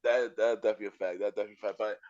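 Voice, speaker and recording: A man hosting a podcast, talking in a relaxed, slangy way and often repeating and restarting phrases. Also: on the low side, rapid-fire, dull audio, a crowd in the background. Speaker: podcast host. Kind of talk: casual interview chat.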